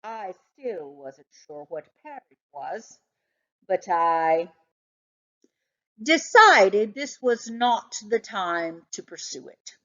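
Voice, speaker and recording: A woman reading a children's book aloud as an expressive narrator. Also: medium pitch, takes it slowly, muffled recording. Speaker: storybook reader.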